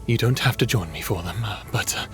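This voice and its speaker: A man's voice: on the low side, a little fast, fairly bright tone, faint music behind, close to an everyday voice. A man in a fantasy audio drama playing a lonely, brooding god of the underworld, earnest and hesitant in his speech. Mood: uneasy, pleading.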